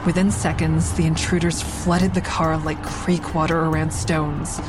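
Low female voice, shaken survivor, crime audio drama narration, prominent music behind. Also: level tone, unhurried, poor audio. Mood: grave, tense. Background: dramatic score and train sound effects.

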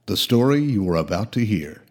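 A man playing a solemn announcer in an old-time style radio drama, with a formal, deep delivery. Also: animated, brisk, a little mellow, breathy edge.

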